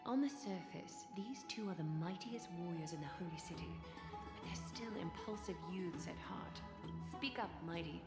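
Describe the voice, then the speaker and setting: A commanding woman leader speaks formally and with stern poise. Her game-character voice is on the low side and echoes over clear background music. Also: expressive, normal speed. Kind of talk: video game voice acting. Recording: echoey reverberant hall with game music behind.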